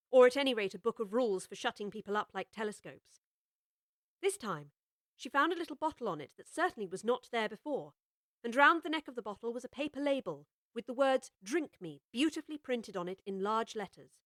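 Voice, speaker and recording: A woman reading scripted storybook narration in a measured, expressive way. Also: fairly high pitch, dry recording, clean studio sound. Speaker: audiobook narrator.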